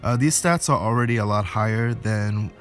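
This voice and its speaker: A man's voice: lowish voice, normal speed. A man giving a casual, explanatory game walkthrough, thinking aloud as he goes. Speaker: gaming video host. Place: indoors, voice-over on game footage. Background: game music.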